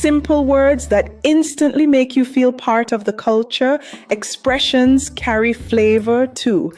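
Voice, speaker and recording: A woman's voice: high voice, steady tone, measured pace, darkish tone. A Jamaican woman, host of a travel channel, narrating a scripted piece in an upbeat, rhythmic way as she lists what her island offers. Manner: upbeat, rhythmic listing delivery.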